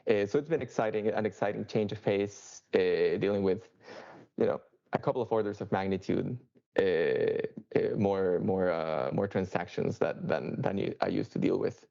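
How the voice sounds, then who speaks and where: A man's voice: sits low, moderate pace, creaky, mellow, highs cut off. A man from Chile with a Chilean Spanish accent, a non-native English speaker, giving a casual work talk with many fillers and restarts. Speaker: software engineer speaker.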